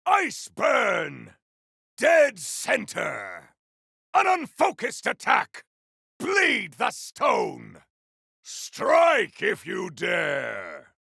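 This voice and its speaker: A man voicing a grandiose, battle-hungry warrior in a video game, with a rough, gravelly voice and bombastic, theatrical delivery. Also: very high voice, sharp tone, no room sound, studio quality, full character voice. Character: grandiose, battle-hungry male warrior with fire and ice powers. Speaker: playable game character. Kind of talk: video game combat barks.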